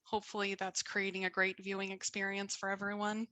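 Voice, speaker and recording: A woman's voice: medium pitch, monotone, a little fast, pushed and bright, no room sound. A woman from Colorado giving an upbeat, chatty talk as a guest speaker on a video call. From Colorado, USA. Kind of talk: virtual presentation.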